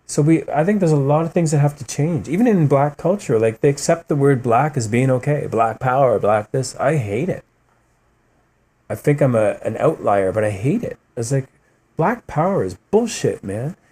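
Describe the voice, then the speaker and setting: A man's voice: medium pitch, moderate pace, husky. A man, a guest on a podcast, talking passionately in a rambling, casual way, often adding "man" and "you know" and acting out quotes as he tells stories. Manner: animated storytelling with voiced quotes. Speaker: podcast guest, musician and writer. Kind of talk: casual interview talk.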